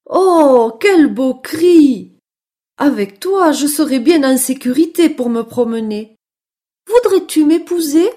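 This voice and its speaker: A sweet little female mouse from a storybook, voiced by a woman, polite and wide-eyed. The voice sits very high and swoops in an exclamatory sing-song, always eager. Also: animated, slow, mellow. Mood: delighted.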